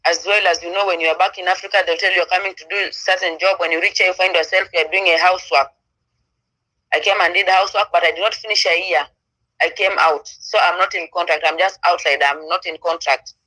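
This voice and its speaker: A woman's voice: fairly low pitch, steady tone, fast talker, pushed and bright, poor audio. A woman from Kenya joining a panel by phone, speaking in plain, short, direct sentences. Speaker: panel guest, migrant domestic worker. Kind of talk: answering questions on a panel. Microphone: phone on a messaging-app call.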